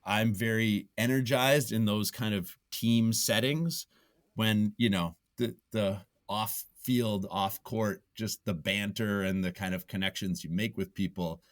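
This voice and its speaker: Low-voiced man thinking aloud in an interview. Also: dry recording, studio quality. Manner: halting, thinking aloud, circles back to repeat phrases. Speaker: podcast guest, nonfiction writer. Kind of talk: casual reflective interview answers.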